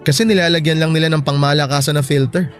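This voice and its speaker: A man's voice: on the high side, fairly even intonation, quick pace, natural voice. A man playing a devoted, sentimental boyfriend in an audio love drama, talking in an affectionate, conversational way. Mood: fond, chatty. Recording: music bed behind the voice.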